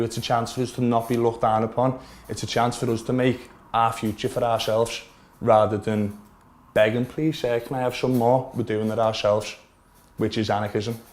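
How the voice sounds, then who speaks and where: A man's voice: mid-range voice, a little mellow. A man in his twenties with a Liverpool accent, earnestly explaining his views at length in a documentary interview in his shop. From Liverpool, England. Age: 20s. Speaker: vape shop owner. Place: their small shop, indoors.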